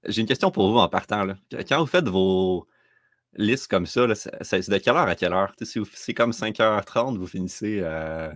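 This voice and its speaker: Deep-voiced Québécois man, laid-back guest. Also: moderate pace, no echo, clean studio sound. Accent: Québécois French. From Quebec, Canada. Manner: relaxed, fills with "là" and "tu sais". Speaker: podcast guest, hockey scout. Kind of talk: casual interview talk.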